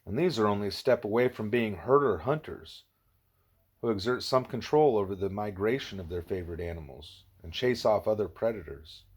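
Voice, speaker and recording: A man reading the part of a wise, patient gorilla teacher in an audiobook, explaining in a measured, didactic way. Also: fairly low pitch, husky. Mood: patient, instructive.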